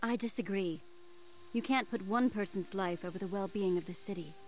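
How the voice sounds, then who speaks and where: A woman's voice: mid-range voice, normal speed, darkish tone, slight background noise, natural voice. An actress voicing a firm, principled woman who defends a city in a video game. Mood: firm disagreement.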